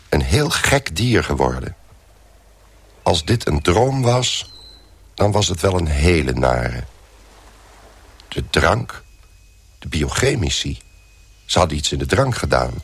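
Dazed, lonely man-turned-lobster, brooding radio-play narrator. Very low voice, unhurried delivery. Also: creaky, breathy edge, exaggerated voice. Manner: slow, brooding monologue. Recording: faint background noise.

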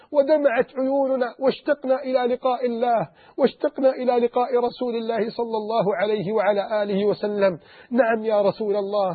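A man with a very high, husky voice pours out fervent pleading prayers in a sermon, repeating each invocation. The sound is thin and tinny, like it came over a phone line. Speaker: preacher. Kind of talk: emotional supplication sermon.

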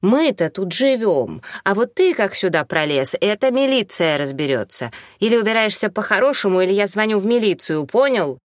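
Woman acting a gruff, bossy older village battle-axe. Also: medium pitch, sing-song, normal speed, few pauses, dry recording, studio quality, pitched above the performer's own voice, lightly acted. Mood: indignant. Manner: brusque, scolding, colloquial rustic speech.

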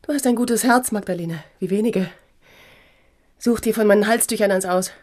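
An actress playing a husky captive countess. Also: female voice, medium pitch, normal speed, natural voice. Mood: warm, grateful. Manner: hushed and urgent, often whispered. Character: captive young countess, longing and hopeful. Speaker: Countess Anna, the prisoner. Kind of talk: radio play acting.

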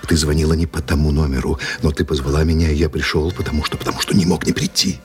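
An actor playing a suave, composed gentleman writer in a radio drama, speaking with courteous irony. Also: very deep voice, steady tone, soft background music. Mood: hushed, tender. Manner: courteous, ironic, unhurried. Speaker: actor in an audio play. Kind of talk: Russian radio drama acting.

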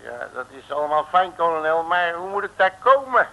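A high, sharp-voiced cheeky detective. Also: male voice, sing-song, moderate pace, heavily acted. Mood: sardonic. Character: cheeky, grumbling male police detective, colloquial and sardonic. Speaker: actor playing a detective. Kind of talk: 1960s comic radio serial acting. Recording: old radio recording.

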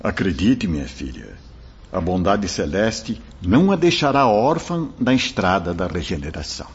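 An actor playing a gentle, solemn spirit mentor in a radio drama, fatherly and reassuring, with formal, measured delivery. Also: sits low, very animated, husky, exaggerated voice. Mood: tender exhortation. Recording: dull lo-fi radio drama recording.

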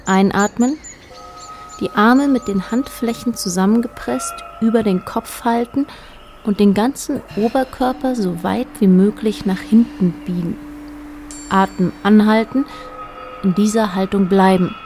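A woman narrating a literary radio play in a measured, matter-of-fact way. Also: medium pitch, mellow, soft background music, natural voice.

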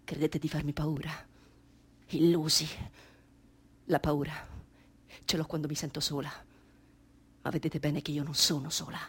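An actress playing an anguished, defiant grieving mother in radio theatre, intense and theatrical, with a husky voice that often drops to a whisper. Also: deep voice, flat delivery, moderate pace, long pauses. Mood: defiant outrage. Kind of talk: dramatic radio theatre monologue.

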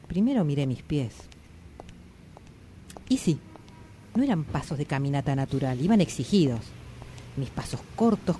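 A woman's voice: lowish voice, warm tone, slight background noise. A woman acting an anxious, introspective character in a radio drama monologue, confiding and dramatic, with a Buenos Aires accent. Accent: Argentine, Buenos Aires. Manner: confiding, dramatic, reflective with colloquial swearing. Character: anxious, introspective woman haunted by always running late.

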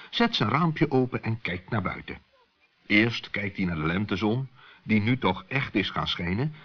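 Male children's narrator: husky, lively melodic delivery, medium pitch, slightly muffled vintage radio sound. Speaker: storyteller. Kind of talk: expressive scripted children's storytelling. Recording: dull old radio recording.

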